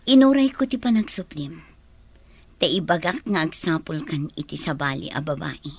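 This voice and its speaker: An actress with an Ilocano accent and husky voice playing a frank young woman in a radio drama. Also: low-pitched, moderate pace. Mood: firm, frank. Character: frank, firm young woman rejecting an unwanted suitor.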